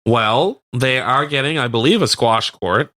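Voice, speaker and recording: A Canadian man chats through local news as a radio co-host. His voice sits at an average pitch with a dry, close sound. The delivery is informal and a little halting, with a light joking touch. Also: lively intonation, sharp tone, no room sound. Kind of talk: casual local news chat.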